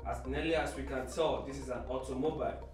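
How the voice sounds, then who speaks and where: Guy playing a military analyst explaining stuff calmly, far off and echoey. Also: medium pitch, close to an everyday voice. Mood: matter-of-fact. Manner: measured, explanatory. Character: matter-of-fact male military image analyst giving briefing. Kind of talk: briefing in a radio drama. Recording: very echoey, distant, faint music.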